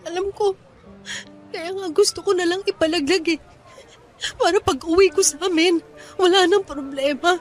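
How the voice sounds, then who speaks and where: A young woman in despair in a radio drama. Her voice is high, plaintive and pleading. She holds stubbornly to what she says while sounding unwanted and hurt. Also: moderate pace, long pauses, soft background music. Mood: pleading, desperate. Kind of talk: Tagalog radio drama acting.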